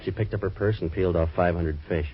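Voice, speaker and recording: A fast-talking hard-boiled detective. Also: male voice, low-pitched, breathy edge, dull audio, soft background music. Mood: narrating. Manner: deadpan, wisecracking hard-boiled narration. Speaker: private detective and narrator. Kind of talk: 1940s radio acting.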